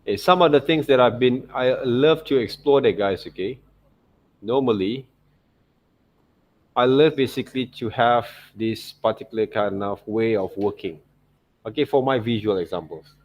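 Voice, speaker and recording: A man teaching digital illustration in an informal software demonstration, thinking aloud and often checking in with okay and right. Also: mid-range voice, normal speed, lo-fi sound.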